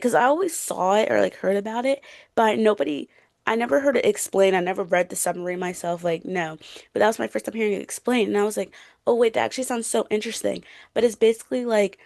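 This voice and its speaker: A woman's voice: medium pitch, vocal fry, a little mellow, no echo, clean studio sound. A woman chatting casually and emphatically about books as a podcast co-host, in an informal way. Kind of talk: casual book chat.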